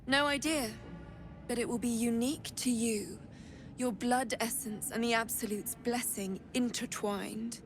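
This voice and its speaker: Woman as an unhurried, haughty female blood trader. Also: on the high side, fairly even intonation, over music, natural voice. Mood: silky, intrigued. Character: eerie, imperious female blood trader with morbid curiosity. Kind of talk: dramatic video game acting.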